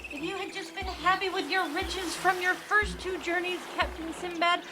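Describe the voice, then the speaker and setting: A woman's voice: very high voice, fairly even intonation, normal speed, background noise. A woman playing a nervous, cowardly sailor in a comic audio drama, fretful and theatrical. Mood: reproachful. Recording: music behind voice.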